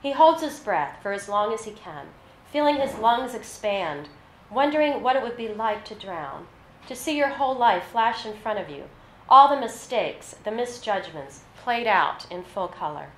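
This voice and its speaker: A woman reading literary fiction aloud at a live event, with a measured, narrative delivery. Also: a little high, lively intonation. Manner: measured, scripted narration with read-out dialogue. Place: live reading in an echoey venue. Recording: roomy echo, faint background noise.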